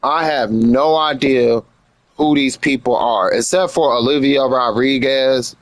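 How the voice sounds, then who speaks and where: A man's voice: mid-range voice, a little slow, no echo, low-quality recording. An American man chatting casually on a livestream in slangy speech, repeating phrases for emphasis. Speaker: live streamer. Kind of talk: casual livestream commentary chat.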